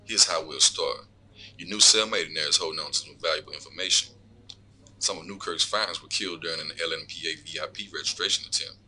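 Man acting a menacing, very deep-voiced corrupt lawman. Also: fast talker, sharp tone, slight background noise, full character voice, low-quality recording. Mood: cold, taunting. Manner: taunting, profane, coolly threatening. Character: corrupt, smug lawman blackmailing an informant, menacing. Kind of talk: scripted audio drama dialogue.

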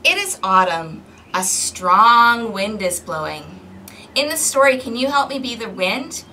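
A woman reading a picture book aloud to young children in an expressive, interactive way, recorded outdoors on a balcony. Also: mid-range voice, unhurried. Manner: expressive, clearly paced, questioning as if to young children. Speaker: storytime host for a community service. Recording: distant mic with outdoor background noise.

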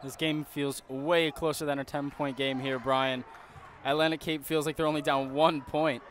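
A man's voice: a little high, flat delivery, moderate pace, a bit bright. A man giving enthusiastic, casual color commentary on a college basketball game. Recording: crowd and court noise behind the commentary.

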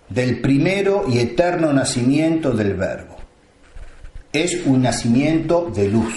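A priest delivering a slow, solemn sermon with deliberate pauses. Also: male voice, on the high side, darkish tone, echoey room.

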